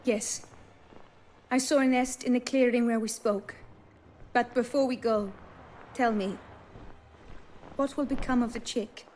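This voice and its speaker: A woman's voice: a little high, fairly even intonation, unhurried, pauses often, a little mellow, a little hiss or hum, lightly acted. A woman playing a refined young noblewoman in a fantasy video game, earnest and resolute, with formal, precise phrasing. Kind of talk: video game cutscene acting. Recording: faint music under the voice.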